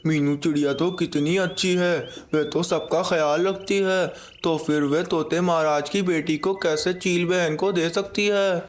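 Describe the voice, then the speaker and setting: A polite, helpful male pigeon from a children's cartoon played by a man, with a very high voice. He talks in plain storybook dialogue over faint noise. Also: fairly even intonation, a little slow, few pauses, natural voice. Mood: puzzled.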